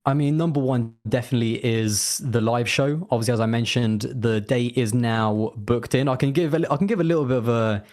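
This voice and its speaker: Fast-talking man, chatty guest. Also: medium pitch, a little mellow. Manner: chatty, rambling with many restarts and fillers. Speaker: guest, racing content creator. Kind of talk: casual interview chat.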